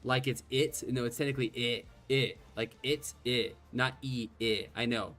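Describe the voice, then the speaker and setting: A man's voice: mid-range voice, fairly even intonation, normal speed, a bit bright. A man with a slight lisp whose first language is Gaelic, chatting casually while streaming a game, rambling and self-deprecating, swearing now and then. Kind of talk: casual gameplay commentary. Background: faint game music.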